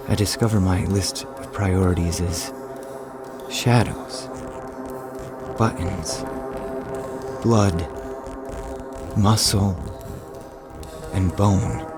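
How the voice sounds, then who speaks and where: Slow, low haunted man over music. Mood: dark, intimate. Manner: halting, deliberate, broken phrases with repetition. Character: haunted man confiding a dark, violent secret. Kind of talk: slow, scripted dramatic monologue for an audio horror drama.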